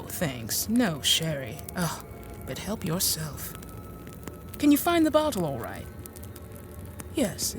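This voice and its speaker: An actress playing a prim, genteel woman in an audio drama, confiding her story in a hesitant, rambling, over-polite way. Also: lowish voice. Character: prim, fussy, genteel woman recounting a dark tale. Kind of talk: audio drama acting, confiding first-person narration.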